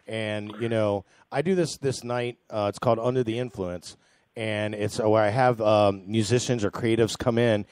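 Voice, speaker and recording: A man's voice: lowish voice, fairly even intonation, normal speed, a little mellow, smooth, no echo. A man born and raised in Orlando, co-hosting a casual radio interview in a chatty, enthusiastic way. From Orlando, Florida. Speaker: radio co-host.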